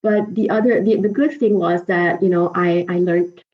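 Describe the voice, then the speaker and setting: A woman in her forties whose first language is Urdu, telling her story as an interview guest, lively and chatty with frequent laughs and fillers. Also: on the low side, normal speed. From Pakistan. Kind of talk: conversational interview. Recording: slightly dull lo-fi audio.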